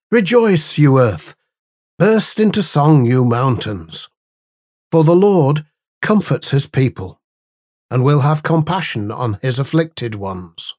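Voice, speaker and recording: Slow, measured man reading a devotional. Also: fairly high pitch, a little mellow, dry recording, studio quality. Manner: measured, deliberate phrasing with pauses. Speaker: devotional reader and host. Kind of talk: scripted devotional reading with scripture and prayer.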